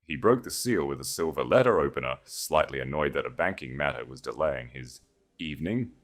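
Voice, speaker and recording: Very deep-voiced male dramatic storyteller. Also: lively intonation, brisk. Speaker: audiobook narrator. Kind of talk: dramatic scripted narration of a period romance story.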